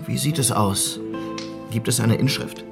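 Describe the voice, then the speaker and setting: Ein Schauspieler spielt im deutschen Hörspiel einen höflichen, wissbegierigen Reisenden im Wilden Westen. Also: male voice, mid-range voice, measured pace, creaky, loud music behind the voice. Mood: intrigued. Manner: clipped journal narration, probing questions. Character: courteous, inquisitive male traveller riding the Old West. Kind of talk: German radio drama acting.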